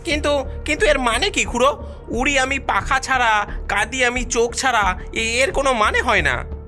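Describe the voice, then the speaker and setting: Bright, puzzled cartoon villager voiced by a woman. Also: a little high, moderate pace, faint music behind. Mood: puzzled, pondering. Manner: hesitant, repeating words.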